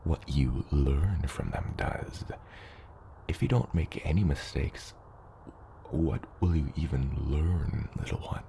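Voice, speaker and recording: Male actor as dragon dad, rock-bottom pitch, unhurried delivery, patient mentor, gently teasing, partly whispery, ASMR roleplay. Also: slight background noise, full character voice. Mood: patient, reassuring. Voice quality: very deep, gravelly, partly whispery. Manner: slow, measured mentoring with hesitant asides. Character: patient, gently teasing adult dragon father teaching a hatchling. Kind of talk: ASMR roleplay, acted monologue to the listener.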